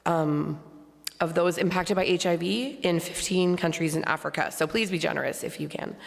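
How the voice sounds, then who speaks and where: A hoarse woman giving informal, somewhat halting church announcements as a board member. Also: fairly low pitch. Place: large church sanctuary. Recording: long hall reverb from church sound system.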